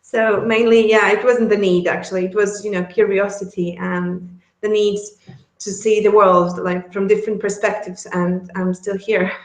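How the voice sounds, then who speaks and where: A woman's voice: mid-range voice, little pitch movement, normal speed, roomy sound, poor audio. A woman from Latvia with a Latvian accent, a guest chatting casually in an interview, laughing often and restarting her sentences. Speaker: guest, relocation service founder.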